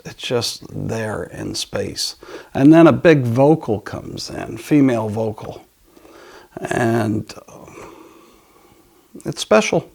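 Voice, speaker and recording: A man hosting a casual audio talk, enthusiastic but halting, often trailing off and picking the thought up again. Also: mid-range voice, vocal fry, a little mellow. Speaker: audio dealer and show host. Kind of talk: casual product and music talk.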